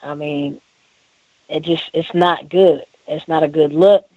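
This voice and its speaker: Higher-voiced man talking casually and earnestly. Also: fairly even intonation, slow pace, no room sound, narrow, tinny audio. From Oklahoma, United States. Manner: earnest, informal, slangy. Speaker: rapper, interview guest. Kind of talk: casual interview talk.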